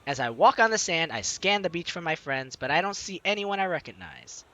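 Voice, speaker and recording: A man's voice: on the high side, sing-song, fairly quick, sharp tone. A young man playfully reading a visual novel aloud and commenting on it as a let's play host, with game music behind him. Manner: playful, theatrical, jokey reactions.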